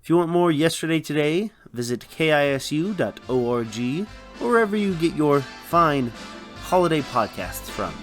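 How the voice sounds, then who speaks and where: A man's voice: on the high side, animated, normal speed, faint music behind. A man co-hosting a radio show with scripted comic banter.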